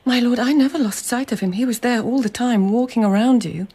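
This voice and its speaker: A woman's voice: on the high side, fairly quick, warm tone, husky, no room sound. A woman playing a serious, firm noblewoman investigator of the Japanese imperial court in a radio drama. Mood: quiet, earnest. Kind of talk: radio drama acting and narration.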